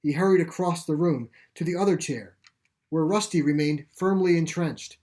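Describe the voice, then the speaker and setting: A man narrating his own short story in a deliberate, literary storytelling manner. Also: fairly high pitch, darkish tone.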